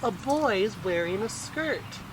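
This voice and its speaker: A man's voice: high-pitched, expressive, slow, background noise, voice pushed up. A man voicing a mocking, bossy older boy who talks in a blunt, taunting way in a picture-book story. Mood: jeering.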